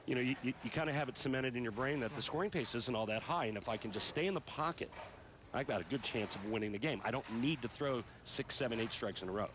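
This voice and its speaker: A male color commentator breaks down bowling technique in an easygoing, analytical way, speaking at a middle pitch. Loud arena noise runs under the broadcast audio. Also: quick pace.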